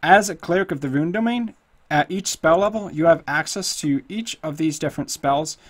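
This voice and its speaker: A slightly hoarse man casually walking through a tabletop character build, thinking aloud with lots of fillers. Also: fairly high pitch, normal speed. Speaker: tutorial host. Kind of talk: casual screen-recorded game tutorial.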